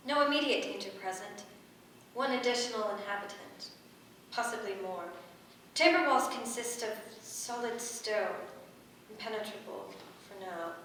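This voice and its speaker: A woman's voice: medium pitch, moderate pace, fairly bright tone, natural voice. A woman playing an analytical, literal-minded water elemental in a staged play reading, with clipped, formal phrasing. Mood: analytical, clinical. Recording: echoey room from far off, faint noise.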